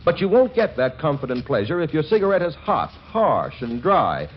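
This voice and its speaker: A man working as a commercial announcer on a 1940s radio show, pitching with comic bluster on a dull old recording. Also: sing-song, moderate pace, slight background noise.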